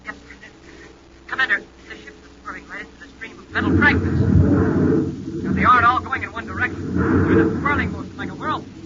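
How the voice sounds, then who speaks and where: An American actor playing an eager, excitable young space cadet in a 1950s radio serial. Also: male voice, very high-pitched, a little fast, pauses often. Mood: alarmed, frantic. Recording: noisy, dull old radio recording.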